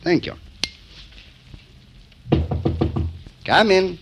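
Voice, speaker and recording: An actor playing a suave, evasive crooked company president, polite and guarded, in 1940s radio crime drama style. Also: male voice, high-pitched, animated, normal speed. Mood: courteous. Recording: noisy old 1940s radio recording.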